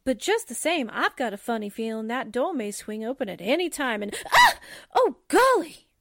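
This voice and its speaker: A woman playing a sarcastic, slangy, excitable female sidekick in a radio drama. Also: a little high, moderate pace, gravelly, no echo, studio quality, heavily acted. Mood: jumpy, startled. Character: wisecracking, jumpy, sarcastic female newspaper sidekick.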